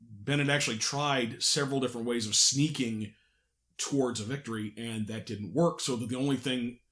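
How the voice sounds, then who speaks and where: A man's voice: mid-range voice, fairly even intonation, moderate pace, fairly bright tone. Male podcast host, mid-range voice, agreeable behind-the-scenes chat about creative work.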